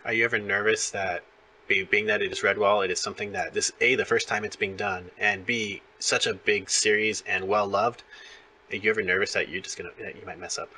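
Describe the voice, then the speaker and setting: A man's voice: lowish voice, steady tone, brisk, bright, forceful tone, slightly rough, low-quality recording. A man interviewing in a casual, chatty and enthusiastic fan-to-fan way. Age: adult parent of young children.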